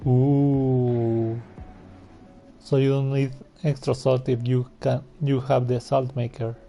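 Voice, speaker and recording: A man's voice: medium pitch, level tone, slow, gravelly, mellow. A man giving casual, informal, sweary commentary while he plays and reacts to a video game. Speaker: gamer playing and reacting on video.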